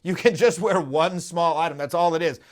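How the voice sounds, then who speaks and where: A man in his thirties, a dating coach hosting a casual podcast chat, telling stories animatedly and acting out the voices of people he quotes, recorded in a dark studio. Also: fairly high pitch, brisk. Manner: animated storytelling, acts out quotes.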